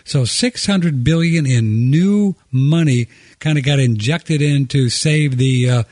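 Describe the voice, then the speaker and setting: A man's voice: medium pitch, takes it slowly, darkish tone, dry recording, clean studio sound. A man hosting a radio talk show, chatty and joking as he gives out phone numbers and introduces his co-host. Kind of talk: casual radio chat. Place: radio studio.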